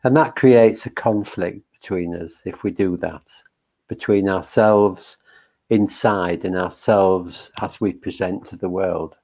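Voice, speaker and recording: Deep voiced guy leading a meditation, talks real slow and gentle, lots of ums and pauses. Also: fairly even intonation. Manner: gentle, halting, thinking aloud with many fillers. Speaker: meditation leader. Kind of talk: reflective Buddhist dharma talk.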